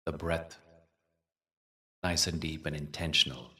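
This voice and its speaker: A man leading a guided meditation as the teacher, soothing and unhurried, with measured phrasing and long pauses. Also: rumbling low, steady tone, sharp tone, distant mic.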